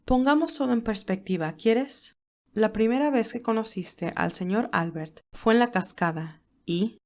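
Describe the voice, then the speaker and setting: A woman voicing a calm, motherly older woman who reasons in a measured, gently firm way in audiobook dialogue. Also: a little high.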